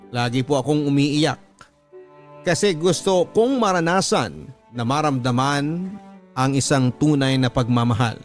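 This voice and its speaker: A man hosting a radio story show, reading listener letters aloud in an earnest, measured way. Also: on the high side. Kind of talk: scripted narration of letters. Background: faint background music.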